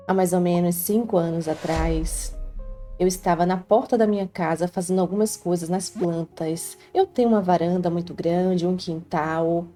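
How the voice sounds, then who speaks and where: Brazilian woman narrator, low and warm, earnest first-person telling over faint music. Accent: Brazilian Portuguese. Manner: earnest, heartfelt confiding tone. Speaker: storyteller. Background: faint background music.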